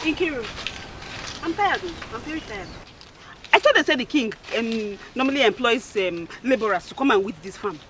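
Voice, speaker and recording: A woman's voice: a little high, lively intonation, moderate pace, natural voice. A woman playing a taunting village girl. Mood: tired. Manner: mocking, taunting. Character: sharp-tongued young village woman, mocking rival. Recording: loud background noise.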